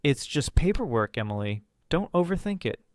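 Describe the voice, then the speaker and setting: A man voicing a cold, dismissive middle-aged mother in a spoken story, a little higher and louder than his narration. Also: big pitch swings, normal speed, no room sound, clean studio sound.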